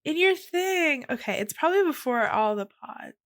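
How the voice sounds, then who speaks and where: A woman's voice: sits high, animated, moderate pace, no room sound, studio quality. A woman co-hosting a podcast in casual chat, with a playful, informal and exclamatory way of talking. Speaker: podcast co-host.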